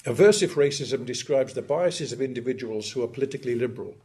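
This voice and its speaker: An older man from northeast England, giving a measured, emphatic academic lecture and often clearing his throat. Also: mid-range voice, darkish tone. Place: lecture hall.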